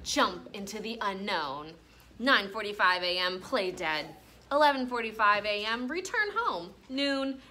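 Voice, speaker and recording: A woman with a bright, fairly high voice reads aloud as a schoolteacher. She is expressive and instructional, stopping to explain and check in with questions. There is faint noise behind her. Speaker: elementary school teacher. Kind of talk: classroom read-aloud with teaching commentary.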